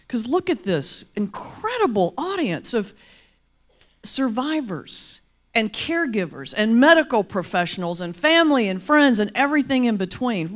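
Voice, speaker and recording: Lively Southern woman, playful keynote speaker. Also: on the high side. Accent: North Carolina mountains Southern. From North Carolina. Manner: lively, playful, folksy with audience call-and-response. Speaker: pastor and comedian, guest speaker. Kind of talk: humorous keynote speech. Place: large banquet hall before a crowd.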